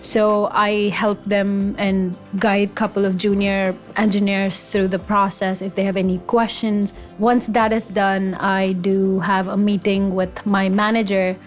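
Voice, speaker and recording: A woman in her thirties from India, an automation engineer, talking about her career in an enthusiastic, conversational way. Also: mid-range voice. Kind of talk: career interview monologue.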